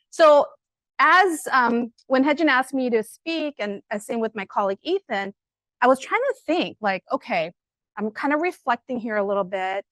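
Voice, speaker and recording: A middle-aged woman with a naturally loud, fairly high voice speaks to an audience. Her delivery is enthusiastic and conversational, with frequent "um"s and "like"s. The sound is dry, with no room echo. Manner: warm, enthusiastic and conversational, with many fillers. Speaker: guest speaker at an academic colloquium. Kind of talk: lively academic talk to an audience.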